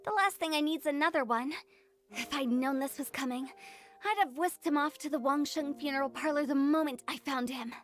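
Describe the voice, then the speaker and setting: An actress voicing a playful, mischievous funeral parlor director in a video game, with a teasing, theatrical and quick-witted manner. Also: female voice, high voice, close to an everyday voice.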